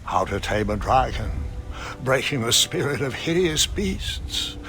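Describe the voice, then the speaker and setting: Whimsical elderly grandpa narrator, adventure game; gravelly, very lively intonation, theatrical and gently teasing. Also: male voice, medium pitch, unhurried, music in the background. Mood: wry. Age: older. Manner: dramatic, savoring words, gently teasing. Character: whimsical old grandfather telling his adventures. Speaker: storyteller narrator.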